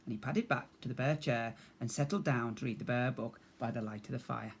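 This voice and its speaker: A man narrating a children's storybook like a teacher, in a measured, expressive way. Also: medium pitch, slight background noise.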